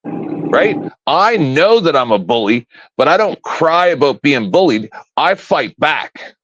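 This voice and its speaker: A man's voice: fairly high pitch, big pitch swings, slow pace, fairly bright tone, dry recording. A man in his fifties, a panel guest on a live stream, ranting in a blunt, profane, confrontational way from home. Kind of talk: casual live-stream rant. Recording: dull, lo-fi, dry stream audio.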